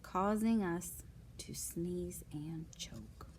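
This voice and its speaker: A woman's voice: lowish voice, expressive, drawn-out words, darkish tone, a little hiss or hum. A woman reading non-fiction picture books aloud to young children, adding casual asides, simple explanations and questions to the listeners.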